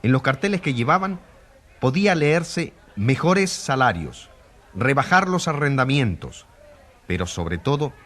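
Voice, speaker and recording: Husky, dramatic radio reporter. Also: male voice, mid-range voice, big pitch swings, a little slow, a bit bright. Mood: grave, dramatic. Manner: declamatory, rhetorical. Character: grave male radio reporter covering a controversial trial. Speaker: narrator, reporter. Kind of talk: dramatized radio news narration.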